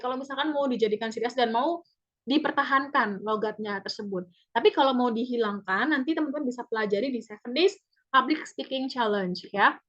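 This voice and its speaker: A woman, a public speaking trainer giving a chatty, upbeat webinar pitch and repeating key points. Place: online webinar. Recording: dull lo-fi webinar audio.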